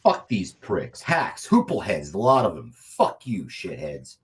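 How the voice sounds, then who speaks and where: A man's voice: sits low, wildly swooping pitch, measured pace, breathy edge, full character voice. A man playing a foul-mouthed, domineering crime boss who rages with megalomania in a comedy table read. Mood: bitter, muttering. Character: raging megalomaniac male crime boss, obsessed with destroying humor.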